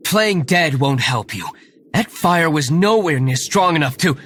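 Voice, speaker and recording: A man's voice: a little high, animated, measured pace, fairly bright tone, slight background noise. A man playing a cool, menacing aristocratic young hero in a video game, stern and formal. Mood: cold, threatening. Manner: stern, formal, coolly commanding.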